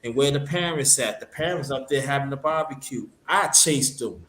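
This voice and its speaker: A man's voice: medium pitch, normal speed, fairly bright tone. Earnest Brooklyn man, roomy sound. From Brooklyn, New York. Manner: earnest, repeats himself, stumbles over words. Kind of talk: casual chat.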